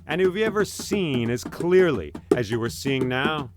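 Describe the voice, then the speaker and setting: A man's voice: medium pitch, lively intonation, moderate pace, loud music behind the voice, pitched above the performer's own voice, natural voice. Man telling a tale as a commanding, courteous bear king. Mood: courtly. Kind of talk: storybook oral storytelling.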